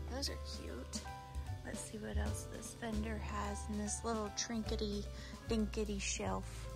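Chatty high-voiced woman over store music. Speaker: vlogger and antiques reseller. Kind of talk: casual shop-along narration to viewers. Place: large indoor antique mall. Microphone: handheld phone held near her. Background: loud store music.

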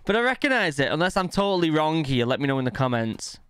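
A British man gives casual reaction commentary in a lively, swinging voice. He is enthusiastic and full of exclamations, close on the mic, with faint music behind him. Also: fairly high pitch, quick pace, smooth, no room sound. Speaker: reaction video host. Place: small indoor room at home. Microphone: close desk microphone.

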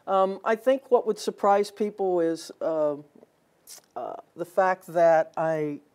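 An older woman speaks in a notably low register as a guest on a local TV studio interview. She talks earnestly and at length, often pausing on fillers and restarting her sentences. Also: warm tone. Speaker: athletic director, guest. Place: TV studio set.

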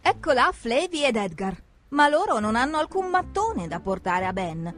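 A woman's voice: fairly high pitch, normal speed, soft background music. A woman narrating a children's cartoon from a script, expressively, speaking straight to the characters. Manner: expressive, addresses characters directly.

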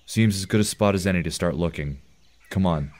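A man's voice: deep voice, fairly quick, a little mellow, no echo, lightly acted. A man playing a terse, commanding mutant team leader in an audio drama.

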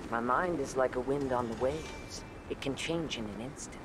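A man playing a calm young wandering samurai in an anime game, soft-spoken and composed. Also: medium pitch, normal speed, noisy background, natural voice. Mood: calm, musing.

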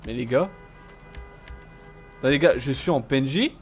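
A man's voice: medium pitch, animated, a little slow, soft background music. A man playing a video game live, chatting casually in a playful, teasing, slangy way. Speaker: gamer, video creator. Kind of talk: casual live gameplay trolling chat.

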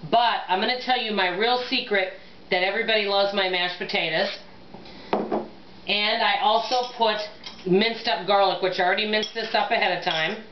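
A woman's voice: medium pitch, steady tone, moderate pace, lo-fi sound. Woman, middle-aged, chatty home cook, talks to viewers, echoey kitchen, camera mic across the counter, faint room hum. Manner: chatty, addresses viewers as "guys" and "y'all", thinks aloud while working. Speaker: cooking vlogger. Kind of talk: casual cook-along chat to camera.

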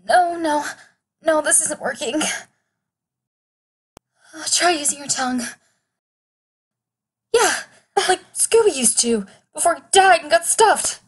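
A woman acts as a playful seductress pretending to be a cartoon detective. Her voice sits a bit high and she draws her words out very slowly. It is suggestive comedy audio drama. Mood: flirty, playful. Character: flirtatious woman role-playing a bespectacled cartoon sleuth.

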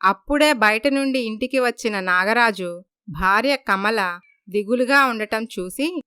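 Female storyteller for a moral tale: higher-pitched, crisp, scripted read, very dry sound. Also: unhurried, bright, forceful tone, no room sound. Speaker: story narrator.

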